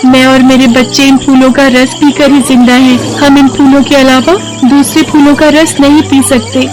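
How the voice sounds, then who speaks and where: A woman's voice: high-pitched, flat delivery, normal speed, music in the background, voice pushed up, natural voice, low-quality recording. A woman voicing a kindly, earnest mother butterfly in a moral storybook tale. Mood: pleading, anxious. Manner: earnest, motherly, addresses the girl as sister and daughter. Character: pleading, grateful mother butterfly, kindly magical helper. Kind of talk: Hindi moral storybook acting.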